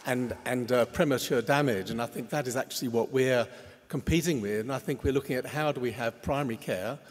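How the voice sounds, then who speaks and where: A man sits on a panel as a clinician and speaks in a halting way, restarting and repeating words as he thinks. His mid-range voice carries a long reverb from the hall. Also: medium pitch. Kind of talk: panel discussion.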